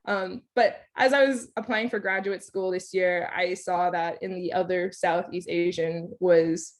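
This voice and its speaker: A woman's voice: fairly low pitch, steady tone, a little slow. A woman in her twenties who grew up speaking Thai, giving a casual, candid talk in a lecture series with frequent ums and likes. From United States. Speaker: staff researcher giving a lecture.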